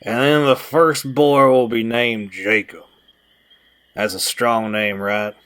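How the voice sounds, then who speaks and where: A man's voice: medium pitch, steady tone, slow, fairly bright tone, clear, resonant tone. Goofy young guy with a playful streak, acted by a man. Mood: tipsy, playful. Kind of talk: audio drama acting.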